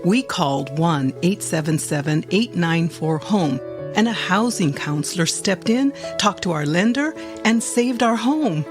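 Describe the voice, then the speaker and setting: A woman's voice: on the low side, lively intonation, a little slow, over music. A woman reading a public-service commercial as an earnest first-person testimonial. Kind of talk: read commercial.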